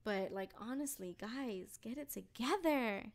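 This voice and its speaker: A blunt woman co-hosting a podcast, swearing casually as she vents. Her voice sits a little high and moves a lot. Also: animated. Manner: blunt, swears freely, quick to laugh. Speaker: podcast co-host.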